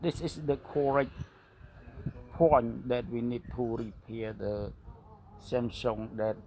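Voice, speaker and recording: A man with a non-native English accent narrating a hands-on repair tutorial as a technician, speaking in short, broken, halting phrases. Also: medium pitch, fairly even intonation, warm tone, a little hiss or hum. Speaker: phone repair technician and instructor.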